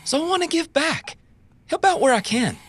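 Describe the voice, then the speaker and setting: A man's voice: very high-pitched, lively intonation, normal speed, a bit bright. An actor playing a dazed, fragile mercenary father in an audio drama, deludedly happy, foggy and fervent. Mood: dazed elation.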